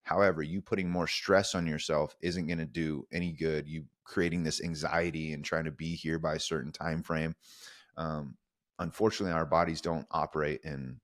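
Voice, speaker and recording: A young man with a very low voice giving earnest, coaching advice on a podcast. He keeps it casual and calls listeners "dude", recorded dry on a close mic. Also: level tone, normal speed, no room sound. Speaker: podcast co-host.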